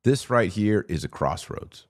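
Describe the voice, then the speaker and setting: A man with a low-pitched voice hosts a live commentary podcast. He speaks emphatically and deliberately, leaning on repeated phrases to press his points. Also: animated. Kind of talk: live political and religious commentary.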